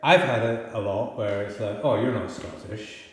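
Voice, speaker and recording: A man's voice: fairly low pitch, fairly even intonation, normal speed. A man hosting a podcast, putting questions in a casual, chatty way. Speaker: podcast host. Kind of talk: casual interviewing. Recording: very echoey, reverberant room.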